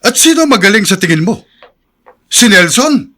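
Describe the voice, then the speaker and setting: A man's voice: high-pitched, sing-song, moderate pace, bright, forceful tone, heavily acted. An actor playing a stern, hot-tempered middle-aged father in Filipino radio drama, domineering and scolding. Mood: indignant, stern.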